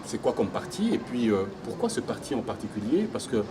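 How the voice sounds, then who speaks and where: A man working as an interviewer, speaking in a semi-formal way with careful, wordy and halting phrasing. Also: medium pitch, very animated. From Democratic Republic of the Congo. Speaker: interviewer for a Congolese web media outlet. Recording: noisy location with background ambience.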